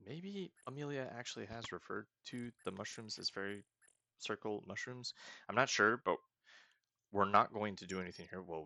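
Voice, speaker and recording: Low, gravelly man musing through a game. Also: pushed and bright. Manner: musing, thinking aloud. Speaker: gaming host. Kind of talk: casual let's play commentary, reading game text aloud.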